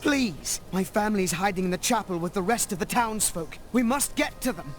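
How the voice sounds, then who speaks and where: An actress playing a frantic villager in a video game, speaking in an urgent, pleading way. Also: female voice, mid-range voice, lively intonation, moderate pace, faint music behind, natural voice. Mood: panicked pleading.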